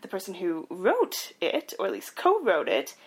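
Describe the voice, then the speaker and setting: A woman's voice: medium pitch, wildly swooping pitch, normal speed, breathy edge. A woman co-hosting a casual podcast, chatting and recapping information in an informative way. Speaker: podcast co-host.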